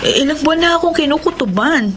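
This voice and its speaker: A woman's voice: high-pitched, lively intonation, quick pace, fairly bright tone, a little hiss or hum. An actress playing a suspicious, hurt wife in a melodramatic Tagalog radio drama, speaking her thoughts aloud. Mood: worried, suspicious. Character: distraught betrayed wife, suspicious then furious.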